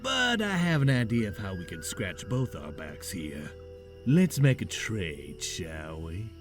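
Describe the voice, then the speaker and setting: A man's voice: deep voice, huge pitch swings, a little slow, faint music behind, exaggerated voice. A man playing a sly, menacing scarecrow villain in an audio drama, taunting in a folksy, theatrical Southern or Western American drawl. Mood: sly menace. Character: menacing male scarecrow, sly Wild West villain card dealer. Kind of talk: audio drama character acting.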